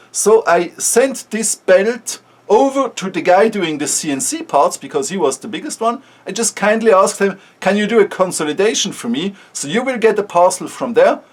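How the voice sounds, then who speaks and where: Swiss-accented man, casual vlog monologue, direct and earnest, voice sits fairly high. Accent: Swiss German. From Switzerland. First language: Swiss German. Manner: earnest, frank, with restarts. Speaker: maker sharing experience.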